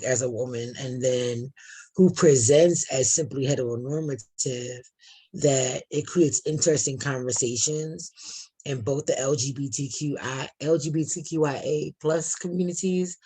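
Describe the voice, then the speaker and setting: A woman with a deep voice, a participant sharing a personal experience in a group discussion, talking in a thoughtful, searching way with many restarts. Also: dark tone, breathy edge. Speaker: workshop participant.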